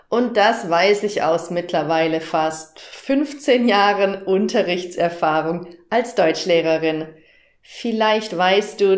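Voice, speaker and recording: Female language teacher, 30s, unhurried and didactic, careful enunciation, mid pitch, roomy echo. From southern Germany, near Stuttgart. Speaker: German teacher, workshop host. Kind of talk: instructional presentation to camera, addressing learners directly. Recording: roomy, echoing room sound.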